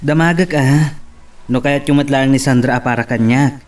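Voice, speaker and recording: A man's voice: mid-range voice, moderate pace, close to an everyday voice. A man with an Ilocano accent playing an eager young suitor in an audio drama, recorded at a distance. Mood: anxious, hopeful. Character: eager lovestruck young man courting a woman.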